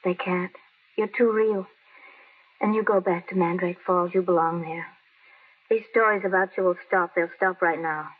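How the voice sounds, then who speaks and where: A woman's voice: lowish voice, steady tone, moderate pace, natural voice. An actress playing a worldly, tough yet lovelorn city newspaperwoman in a vintage radio drama. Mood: tender, sorrowful. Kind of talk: 1930s-40s radio drama acting. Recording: muffled, lo-fi old radio recording.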